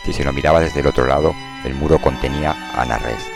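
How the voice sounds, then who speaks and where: Very deep-voiced male narrator over music. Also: fairly even intonation, fast talker, vocal fry. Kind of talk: scripted dramatized novel narration. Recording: prominent music under the voice.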